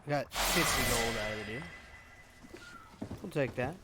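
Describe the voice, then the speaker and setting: A man's voice: medium pitch, normal speed, very noisy. A man giving casual, laid-back gameplay commentary, thinking aloud as he plays. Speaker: gamer, host. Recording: loud game music behind voice.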